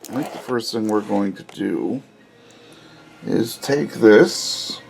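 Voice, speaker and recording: A man with a scratchy voice giving a relaxed, casual hands-on review of a cheap car stereo, explaining each step with plenty of pauses and "uh"s. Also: lowish voice, vocal fry. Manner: relaxed, unhurried explaining with frequent "uh"s. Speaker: car audio hobbyist reviewer.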